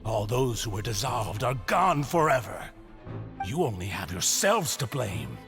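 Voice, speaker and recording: A man's voice: medium pitch, sing-song, a little slow, gravelly. A man playing a desperate, grief-maddened villain in a video game, with theatrical, anguished, unhinged delivery. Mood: bitter despair. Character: desperate grief-maddened male villain obsessed with lost lover. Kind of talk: dramatic video game voice acting. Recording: music under the voice.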